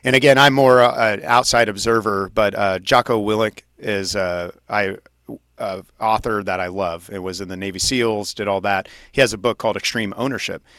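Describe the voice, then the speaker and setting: A man's voice: lowish voice, steady tone, moderate pace, fairly bright tone, dry recording, studio quality. A man hosting an interview in casual chat, with an informal, affirming way of talking. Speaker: interviewer, podcast host.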